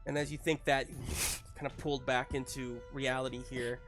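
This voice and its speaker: A man's voice: mid-range voice, little pitch movement, fairly quick, fairly bright tone, faint music behind. A man running a tabletop roleplaying game, narrating casually and checking in with his players in an encouraging way.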